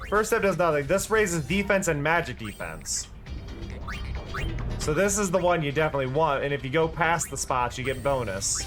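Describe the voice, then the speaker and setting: Casual streamer, tired voice, rambling strategy talk over clear game music. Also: male voice, a little high, expressive, normal speed, a bit bright. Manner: chatty, filler-heavy explaining. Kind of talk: casual gameplay commentary.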